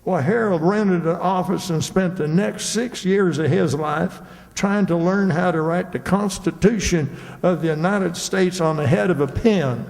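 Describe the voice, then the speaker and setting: High, creaky, dithery townsman played by an actor. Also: unhurried, darkish tone. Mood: earnest, deadpan. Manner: hesitant, stammering, earnest. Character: dithery, literal-minded, absent-minded townsman. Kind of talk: old-time radio comedy acting. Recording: echoey hall with long reverb.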